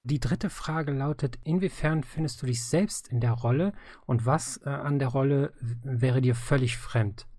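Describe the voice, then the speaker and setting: A man with a mid-pitched voice hosts a casual interview. His recording is very dry, with no room sound, as he puts questions and adds comments. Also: normal speed, a little mellow, no echo. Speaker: interviewer, audio drama creator.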